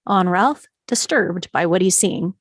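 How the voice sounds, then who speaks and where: A woman's voice: lowish voice, animated, measured pace, no room sound, clean studio sound. A woman reading screenplay stage directions as scripted narration.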